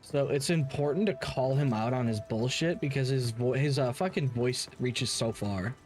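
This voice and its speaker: A man's voice: medium pitch, normal speed, a little mellow, soft background music. A man doing casual live game stream commentary in a blunt, opinionated manner. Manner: blunt, opinionated, heavy swearing.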